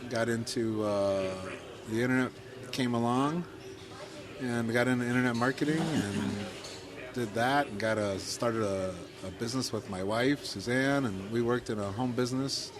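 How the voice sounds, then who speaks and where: A middle-aged man with a Midwestern accent tells his story in a loose, rambling way, with plenty of ums and self-deprecating asides. His voice sits at an ordinary middle pitch. A crowd chatters clearly behind him. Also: moderate pace. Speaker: podcast co-host. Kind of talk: casual chat.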